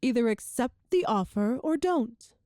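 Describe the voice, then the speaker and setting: A woman playing a cruel, seductive evil empress in an ASMR roleplay drama, speaking with imperious, deliberate menace. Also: on the high side, lively intonation, warm tone, dry recording, studio quality. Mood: cold command.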